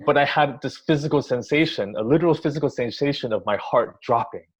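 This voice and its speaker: A man, born in Taiwan, giving thoughtful interview answers with many pauses, restarts and ums. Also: mid-range voice, husky. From Taiwan, raised in the US. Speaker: orchestra music director. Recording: dull lo-fi sound.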